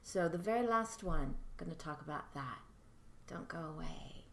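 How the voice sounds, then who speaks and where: A soft-spoken woman giving a friendly, chatty lesson to camera as a teacher of mediumship. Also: deep voice, expressive, normal speed, vocal fry, reverberant. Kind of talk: casual instructional talk to camera.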